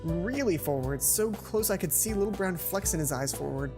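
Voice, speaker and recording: A man's voice: high-pitched, animated, moderate pace. A man in his twenties reading a visual novel aloud as a let's play host, with casual commentary between lines. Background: game music.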